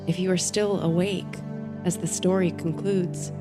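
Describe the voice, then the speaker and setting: A woman host narrating a bedtime story and guided meditation in a soothing, gentle, measured way. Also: lowish voice, flat delivery, over music.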